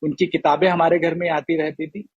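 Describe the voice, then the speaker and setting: Husky, fairly high-voiced man in conversation. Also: flat delivery, a little fast, no room sound, highs cut off. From Rajasthan, India. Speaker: guest writer. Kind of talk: casual interview chat.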